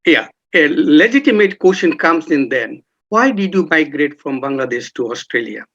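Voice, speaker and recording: Male interviewer with a formal manner and a higher voice; dull, lo-fi recording. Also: big pitch swings, moderate pace, a bit bright. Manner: formal, repeats acknowledgements like "yes" and "right". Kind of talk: podcast interview questions. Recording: dull lo-fi sound.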